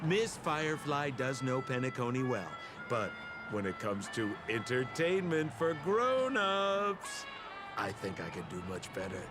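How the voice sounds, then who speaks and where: An actor playing a sly, smooth-talking young swindler in a video game, flamboyant and ingratiating like an oily salesman. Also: medium pitch, very animated, a little slow. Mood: sly, teasing. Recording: music behind the voice.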